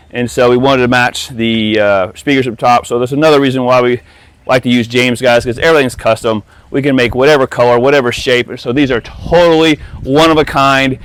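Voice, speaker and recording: A man's voice: mid-range voice, fairly bright tone, smooth, dry recording. Male installer-presenter, mid-range voice, informal and upbeat, casual walkthrough delivery addressed straight to viewers. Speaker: audio installer presenting his work. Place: outdoors by a pool and patio.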